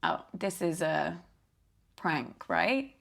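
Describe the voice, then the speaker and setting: An actress playing an anxious young niece in an interactive film, speaking hesitantly with stammers. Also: female voice, on the low side, very animated, drawn-out words, gravelly, fairly bright tone, exaggerated voice. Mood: shocked. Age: young adult. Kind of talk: naturalistic film dialogue. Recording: background music under the voice.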